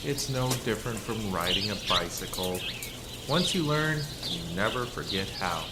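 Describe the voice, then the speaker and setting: A man's voice: fairly low pitch, animated, normal speed, lightly acted. A man playing a breezy, reassuring companion on a country outing in a radio drama. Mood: casual, cheerful. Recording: loud birdsong and vehicle noise.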